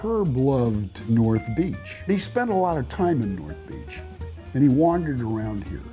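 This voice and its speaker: A man telling stories for a documentary in a deliberate way, repeating phrases for emphasis. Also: medium pitch, big pitch swings, dark tone, soft background music. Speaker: documentary storyteller. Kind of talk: documentary storytelling.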